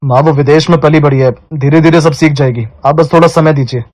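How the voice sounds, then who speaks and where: Headstrong young Indian man played by a male narrator, defiant, curt, higher-than-average male pitch, cartoon drama. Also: steady tone, a little fast, a little mellow, dry recording, lightly acted. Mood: firm. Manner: curt, insistent.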